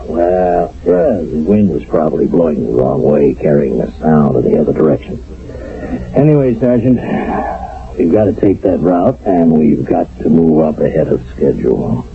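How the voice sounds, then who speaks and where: A harried army captain in a radio drama. His low, gravelly voice deliberates haltingly yet keeps its authority, through a muffled old radio recording. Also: lively intonation. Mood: resigned, puzzled. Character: hesitant, harried army captain, resigned to orders.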